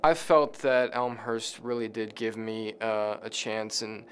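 A man's voice: lowish voice, flat delivery, measured pace, a bit bright, slight background noise. A young man from Illinois, a college student giving an interview testimonial. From Illinois, United States. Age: young adult.

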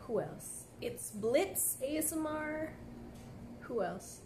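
Young woman, light and a bit high-pitched, easygoing chatter to viewers from a café, phone mic close by. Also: expressive, slow pace, a little mellow, slight background noise. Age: young adult. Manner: chatty, laid-back, heavy use of "like" fillers. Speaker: live streamer answering viewer comments. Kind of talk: casual live stream chat. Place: indoor café at night. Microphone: phone at arm's length.

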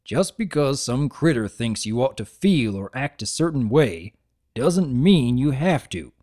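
Rustic male farm pony acted by a man: kind, folksy, plain-spoken, medium pitch, for a cartoon fan story. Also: very animated, unhurried, darkish tone. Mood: encouraging.

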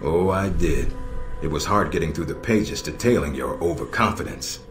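An actor playing a stern, middle-aged American intelligence operations chief in a video game, measured and dryly sardonic. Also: male voice, a bass voice, expressive. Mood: dry, appraising. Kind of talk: video game cutscene dialogue. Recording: echoey, music underneath.

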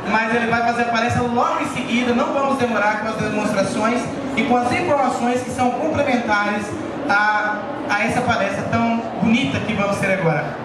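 A man's voice: very high voice, brisk. A man hosting an event, politely apologizing for the delay and introducing the speaker. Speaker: event host. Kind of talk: welcoming announcement. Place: large conference hall stage. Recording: echoey hall from far off, noisy.

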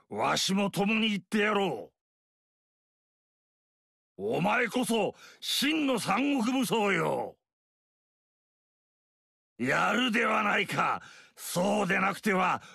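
Gravelly, guffawing tyrant warlord. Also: male voice, big pitch swings, slow, pauses often, bright, forceful tone, no echo, heavily acted. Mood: gloating. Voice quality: gravelly, booming, husky. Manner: blustering, gloating, hearty guffaws. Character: gluttonous tyrant warlord, boastful and cruel, older man. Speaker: game character voice. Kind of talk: video game voice lines. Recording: dry studio recording.

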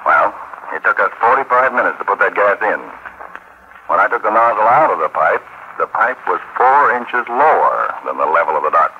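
An actor playing a calm, authoritative counterspy chief who talks methodically and commandingly in a 1940s radio drama. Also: male voice, lowish voice, normal speed. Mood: explanatory. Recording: dull, noisy 1940s radio recording.

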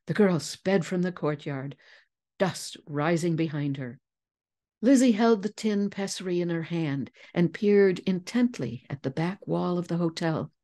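A woman giving a dramatic, expressive audiobook narration, deliberate and articulate. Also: fairly low pitch. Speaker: voice actor and narrator.

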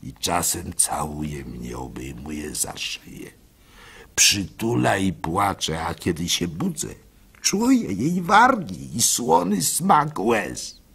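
An actor playing a gentle, weary old wandering simpleton telling his story in a theatrical, drawn-out radio monologue, with a husky, gravelly voice. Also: low voice, sing-song, voice pushed down, exaggerated voice. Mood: tender, dreamy. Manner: theatrical, slow, widely swinging delivery with drawn-out words. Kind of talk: dramatic first-person monologue, radio play.

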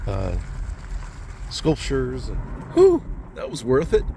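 A man in his fifties narrating a casual road-trip vlog, chatty and easily impressed, thinking out loud as he films. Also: medium pitch, wildly swooping pitch, takes it slowly. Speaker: travel vlogger. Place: outdoors on a windy small-town street and in a car. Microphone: phone camera at arm's length. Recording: wind and car noise behind. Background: wind and passing traffic.

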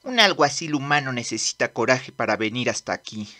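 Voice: medium pitch, expressive, fairly quick, sharp tone. A performer voicing a cocky, bratty young demon prince in a manga dub, with a swaggering, curt manner. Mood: haughty. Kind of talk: animated manga dub acting.

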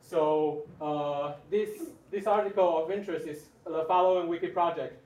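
A man with a Mandarin accent, a native Mandarin speaker, presenting a live demonstration to an audience in a hesitant, halting way. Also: a little high, steady tone, a little mellow, highs cut off. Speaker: workshop presenter. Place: large conference room, distant camera without microphone. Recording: echoey room, far from the microphone.

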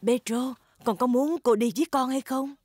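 A woman voicing a kindly animal schoolteacher, narrating a children's play in a measured, theatrical way for a cartoon. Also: sits high, fairly even intonation, darkish tone, no echo. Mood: presenting. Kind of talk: children's cartoon dub, storytelling.

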